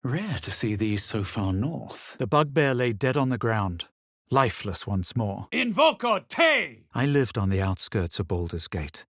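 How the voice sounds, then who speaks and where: An actor playing a haughty, self-assured young adventurer in a fantasy video game, speaking in a measured, literary manner. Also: male voice, medium pitch, big pitch swings, no room sound, studio quality. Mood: forceful incanting. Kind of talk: video game character narration and barks.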